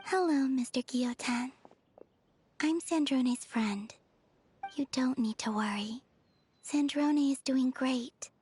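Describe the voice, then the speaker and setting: An actress voicing a gentle, dreamy young woman who speaks in a soft, breathy near-whisper. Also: high-pitched, slow pace, pauses often, a little hiss or hum. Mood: tender, earnest. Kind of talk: game voice acting.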